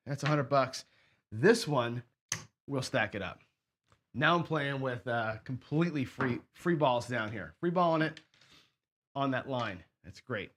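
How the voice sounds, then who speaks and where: A man hosting a craps strategy show, hoarse and sniffly, chatting casually as he thinks aloud and counts out chips and bets. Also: mid-range voice, fairly bright tone. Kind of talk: casual play-along commentary.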